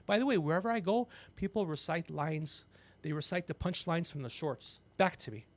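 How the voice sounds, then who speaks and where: High-voiced gregarious man rambling on a livestream. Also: moderate pace. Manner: gregarious, animated, prone to tangents and teasing asides. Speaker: podcast host. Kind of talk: rambling comedic storytelling on a livestream.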